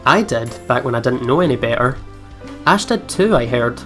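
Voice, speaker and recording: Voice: mid-range voice, expressive, normal speed. A let's play host reading game text aloud with casual commentary, over game music.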